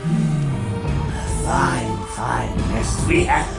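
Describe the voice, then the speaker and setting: A man playing a sadistic, gloating alien torturer in a video game, with a theatrical, drawn-out, hissing delivery that savours pain and plunder. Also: medium pitch, vocal fry. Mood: savouring, uneasy. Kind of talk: villainous video game cutscene acting. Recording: echoey, loud music underneath. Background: loud music.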